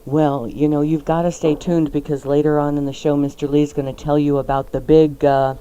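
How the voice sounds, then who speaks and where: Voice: medium pitch, little pitch movement, brisk, warm tone, dull audio, slight background noise. A radio cooking show host bantering playfully with callers on air, full of pet names like baby and darling. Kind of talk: lively live call-in radio banter.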